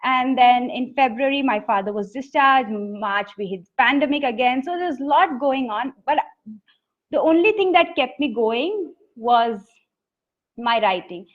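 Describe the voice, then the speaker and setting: A woman's voice: high-pitched, expressive, unhurried. Earnest Indian woman author. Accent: Indian English. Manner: earnest, reflective storytelling. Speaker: poet and author guest. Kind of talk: conversational interview answers. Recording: dull lo-fi audio.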